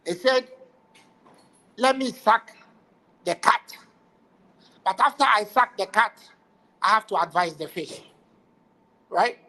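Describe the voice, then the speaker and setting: A man's voice: high-pitched, animated, takes it slowly, pauses often, pushed and bright. A man from Ghana, co-hosting a football stream, blunt and passionate and fond of proverbs. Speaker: co-host. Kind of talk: casual football debate on a livestream.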